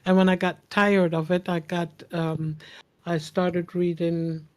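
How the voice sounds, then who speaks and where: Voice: mid-range voice, level tone, unhurried. A guest in casual chat speaking English with a non-native accent, hesitant and searching for words. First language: German-speaking upbringing.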